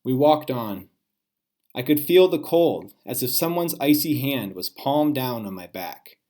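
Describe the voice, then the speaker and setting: A man, a teacher, reading a children's storybook aloud in an expressive way with dramatic pauses. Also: medium pitch.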